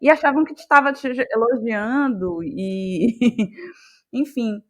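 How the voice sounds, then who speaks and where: A woman's voice: a little high, slow pace. Woman, reflective. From Brazil, Bahia. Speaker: guest, playwright and actress. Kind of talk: reflective conversational interview.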